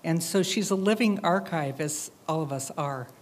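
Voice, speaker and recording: Woman, slightly low voice, artist's public presentation, reflective, halting delivery, frequent hesitations. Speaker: artist presenting her AI robot project. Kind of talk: public talk with visuals.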